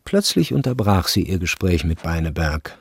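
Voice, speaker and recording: A man narrating a literary radio drama in a measured, sober manner. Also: low-pitched, sing-song, slightly rough, dry recording, studio quality, exaggerated voice.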